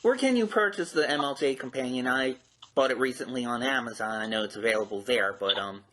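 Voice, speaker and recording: Male interview host, bright clear-cut tone, polite and measured, phrase endings falling away. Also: medium pitch. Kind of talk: conversational interview hosting.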